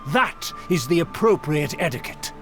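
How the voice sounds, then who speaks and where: A man's voice: fairly high pitch, lively intonation, normal speed, natural voice. A man voicing a haughty, temperamental aristocrat in a video game, pompous and condescending with florid diction. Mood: haughty, indignant. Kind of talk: theatrical video game voice acting. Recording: game music behind.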